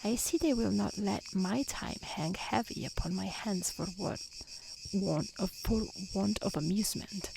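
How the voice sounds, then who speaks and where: A devoted, brave young fairy-tale woman, as a female reader voices her, speaks softly and earnestly. The voice is breathy and unhurried, with a little creak. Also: medium pitch. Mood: musing. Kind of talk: soft-spoken storybook reading. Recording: faint background noise with chirping insects.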